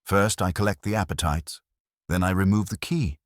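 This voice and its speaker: Imperious older male sleuth read by a man for detective audiobook, bottomless low voice, deliberate and formal, dry sound. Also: no echo, lightly acted. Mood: calm, calculating. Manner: formal, commanding, deliberate wording.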